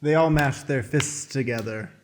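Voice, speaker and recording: Guy narrating stage directions, medium pitch, stage manager for radio play, roomy echo. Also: normal speed, heavy background noise. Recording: roomy, echoey voice recording.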